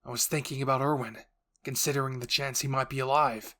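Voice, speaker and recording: A man's voice: mid-range voice, steady tone, a little fast, sharp tone, lightly acted. A man voicing a stern, stoic military captain in an anime roleplay, curt and commanding. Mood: cold, resolute.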